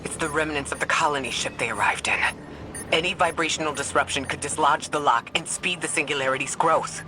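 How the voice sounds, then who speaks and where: A performer playing an earnest, formal advisor in a sci-fi video game, speaking in a measured manner. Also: over music, natural voice. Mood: concerned. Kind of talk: video game dialogue acting. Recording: noisy game ambience, vehicle rumble.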